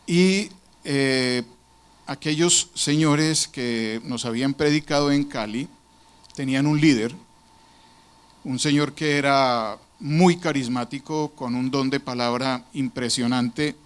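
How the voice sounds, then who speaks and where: A man's voice: mid-range voice, takes it slowly, clear, resonant tone. A man from Colombia, giving an earnest, reflective personal testimony to a church congregation.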